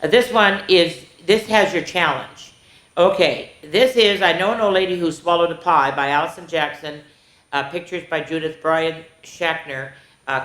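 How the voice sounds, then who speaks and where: A woman hosting a children's story time, reading a picture book aloud expressively, with exclamations and asides to the young listeners. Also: sits low. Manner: expressive, exclaiming, pausing to comment and ask the listeners. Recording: echoey room.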